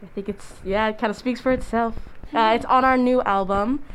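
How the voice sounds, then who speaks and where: A woman's voice: fairly high pitch, steady tone, a little fast, slight background noise, low-quality recording. A young woman from a college singing group, casually explaining the next song. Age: young adult. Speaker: a cappella group member.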